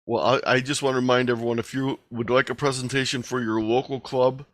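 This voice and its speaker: A man's voice: medium pitch, little pitch movement, fairly quick, a bit bright, no room sound. Man presenting informally, dry close sound. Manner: conversational, explanatory. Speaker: guest presenter. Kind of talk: informal presentation and question-and-answer. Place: online video meeting.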